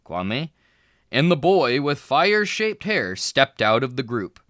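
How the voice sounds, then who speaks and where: Male read-aloud narrator, expressive storybook delivery, bright voice, slow pace. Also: medium pitch, no room sound, clean studio sound. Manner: lively, expressive reading with small voice shifts for characters. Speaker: children's book reader.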